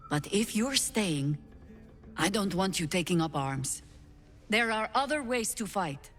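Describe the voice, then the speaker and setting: A proud old warrior in a game drama, weary and gruffly fond. Her speech is terse and grave, ringing in a long reverb over faint music. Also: medium pitch, lively intonation, measured pace, fairly bright tone. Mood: grave, restrained. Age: older. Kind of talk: video game dramatic acting.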